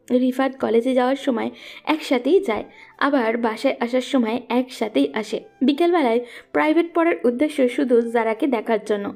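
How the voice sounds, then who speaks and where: A woman's voice: high-pitched, creaky, a little mellow. A woman narrating a scripted romantic story as the storyteller.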